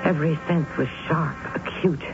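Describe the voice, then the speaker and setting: An actress playing a brooding, obsessive middle-aged woman, narrating a radio drama in an intense, deliberate way. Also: low voice, fairly even intonation, vocal fry. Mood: morbidly intense. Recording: muffled vintage radio broadcast with music.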